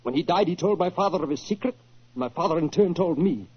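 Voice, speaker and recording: An actor playing a flustered, guilty young family lawyer with a husky voice and defensive manner in a 1940s radio drama. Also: male voice, on the high side, expressive. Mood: confessing. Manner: hurried, defensive. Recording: dull old 1940s radio recording.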